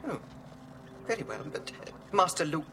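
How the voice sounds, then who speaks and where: A man's voice: a little high, big pitch swings, moderate pace, over music. A man playing an anxious, deferential protocol robot in a radio drama, with a prim, formal, fretful manner.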